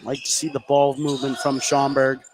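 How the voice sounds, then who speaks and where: A man's voice: medium pitch, completely flat delivery, moderate pace, noisy background. A man giving conversational color commentary and analysis during a live basketball broadcast. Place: indoor high school basketball game.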